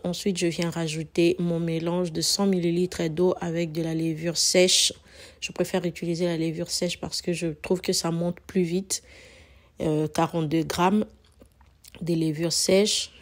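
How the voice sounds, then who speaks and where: A woman with a cold narrates her home cooking, her voice hoarse and fairly low. She speaks in a flat, even tone, chatty and loose, repeating words and dropping in fillers as she works. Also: a little slow. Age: adult mother. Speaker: cooking channel host. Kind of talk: casual cooking tutorial narration.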